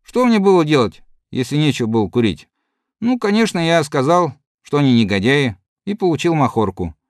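Man voicing good-natured soldier storyteller, rambling, animated, fairly high voice, dry audiobook sound. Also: fairly quick, no echo. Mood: chatty. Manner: chatty, lively yarn-spinning to comrades. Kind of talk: audiobook reading.

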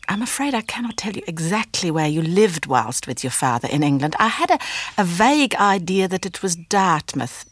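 A reticent aunt reading her own letter in a radio drama. Her voice is slightly low and recorded very dry, with no room around it. The delivery is formal, careful and measured. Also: no echo.

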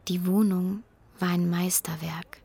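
An actress playing a reflective woman who narrates her own youth in a measured way for a radio drama. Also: fairly low pitch, steady tone, warm tone, slightly rough. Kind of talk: scripted first-person radio drama narration.

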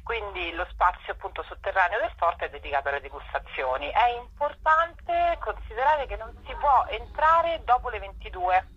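A woman's voice: mid-range voice, sing-song, slightly rough. A woman explaining things hesitantly as an interview guest on a dull radio phone-in. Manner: hesitant, explanatory, with drawn-out pauses. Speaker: festival presenter, interview guest.

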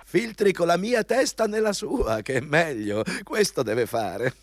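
A man's voice: fairly high pitch, animated, unhurried. An actor in an Italian radio play playing an overbearing, condescending male company boss who hammers out his orders pompously. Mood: bossy, condescending. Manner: pompous, insistent, hammering repeated commands.

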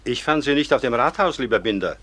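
An actor playing an authoritative, dignified state official in a radio play. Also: male voice, medium pitch, expressive, fast talker, a crowd in the background, lightly acted. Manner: authoritative, composed.

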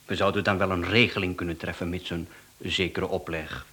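A man's voice: low-pitched, level tone, fairly quick, sharp tone. An actor playing a polite, teasing, insinuating hired killer who talks like a businessman in a radio play.

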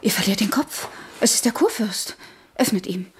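An actress playing a worldly, flirtatious and poised court countess in a radio drama, with an arch, coquettish manner. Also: female voice, medium pitch, animated, normal speed, background noise, close to an everyday voice. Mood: arch, cool. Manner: arch, coquettish, slips into French. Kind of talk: German radio drama acting.

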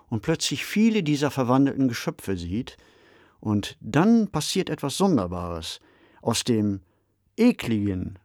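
Man, podcast co-host, reflective and articulate, conversational, mid-pitched, close dry recording. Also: a little mellow, no echo. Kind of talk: conversational discussion of radio drama.

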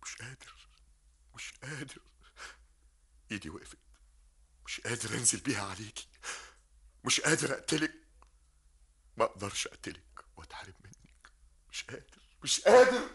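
A man's voice: a little high, expressive, a little fast, creaky, sharp tone, full character voice. An actor with an Egyptian accent playing a lovesick young man in anguish, breaking between cries and whispers.

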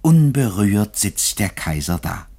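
Low-voiced, husky male radio play narrator. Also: very animated, slow, mellow.

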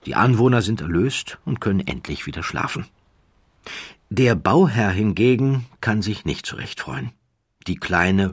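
A man narrating a scripted documentary story in a measured, dramatic way with deliberate pauses. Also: low-pitched, breathy edge.

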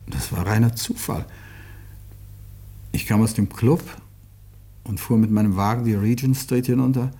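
An actor in a German radio drama playing an earnest, protective bereaved lover, with a husky voice and a grave, halting delivery. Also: male voice, medium pitch, steady tone, dark tone. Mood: heavy, recollecting. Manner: grave, halting, emotionally weighted. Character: earnest bereaved lover recounting his tragic affair, protective, defensive.

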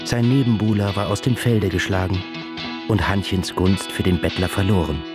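A man with a raspy voice giving formal, deliberate literary narration in a dramatic radio play. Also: low-pitched, steady tone. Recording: studio radio play with music underneath.

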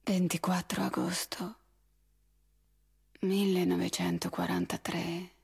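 Breathy, slow whispering dead woman. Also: on the low side, sing-song, a little mellow, full character voice. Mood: hushed, solemn. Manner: slow, halting, whispered delivery. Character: dead woman philosopher calmly recounting her own burial. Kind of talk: poetic theatre monologue.

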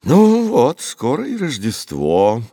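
A man voicing a jovial, fussy middle-aged father in a storybook, with a drawn-out singsong delivery and an easily exasperated temper. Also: mid-range voice, huge pitch swings, dark tone, no echo, studio quality, voice pushed up, full character voice.

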